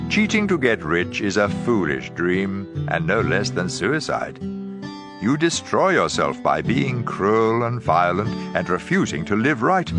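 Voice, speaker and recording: A man narrates scripture in a dramatized audio Bible style. His voice sits fairly low and moves through a wide, lively range of pitch. Plucked guitar music plays clearly behind him. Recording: music bed under voice.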